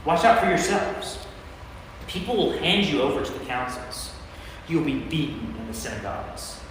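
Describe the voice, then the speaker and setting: A man's voice: fairly high pitch, expressive, normal speed, lightly acted. Commanding teacher in an echoing church, acted by a man. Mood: solemn warning. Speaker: lay performer in a church staged reading. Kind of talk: staged scripture reading. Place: large reverberant church. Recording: echoey church, distant mic, faint noise.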